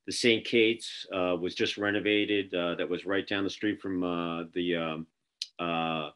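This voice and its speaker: A man's voice: low voice, steady tone, measured pace, a bit bright. A middle-aged man hosting a casual video-call interview, telling stories with many fillers and restarts. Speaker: interview host. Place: office with printed backdrop, indoors. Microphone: video call webcam.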